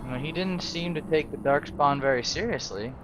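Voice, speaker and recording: A man's voice: on the high side, moderate pace, close to an everyday voice, poor audio. A man voicing a polite, hesitant young female mage recruit in a video game role play, tentative and deferential. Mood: doubtful.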